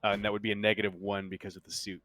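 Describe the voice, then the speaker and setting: Low-voiced man narrating a tabletop game. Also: flat delivery, fairly bright tone, a little hiss or hum. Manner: halting, self-correcting delivery. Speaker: game master.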